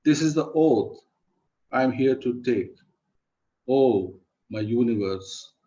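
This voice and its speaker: A man reciting poetry solemnly and emphatically in short, halting phrases, over online call audio. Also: mid-range voice, animated, dark tone, dull audio. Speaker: poet reciting at an online event.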